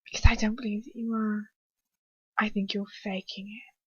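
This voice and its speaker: A woman voicing a cold, sadistic man who menaces his victim in a deliberate, breathy whisper. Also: medium pitch, level tone, a little mellow, dry recording, highs cut off. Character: cold sadistic male villain, quietly menacing.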